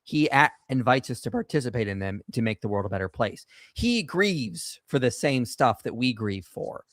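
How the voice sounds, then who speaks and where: A man's voice: medium pitch, lively intonation, normal speed, fairly bright tone, no room sound. Confident male call-in host, dry sound. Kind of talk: apologetics call-in discussion.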